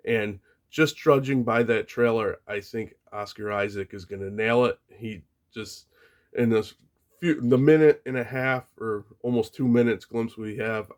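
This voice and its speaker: A man of middle pitch hosts his own video channel, chatting casually and eagerly. His voice sounds slightly off, like he's still getting over being sick. He often stops and restarts his sentences. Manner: chatty, enthusiastic, with frequent restarts. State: getting over an illness, voice a bit off. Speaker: channel host. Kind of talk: casual vlog talk.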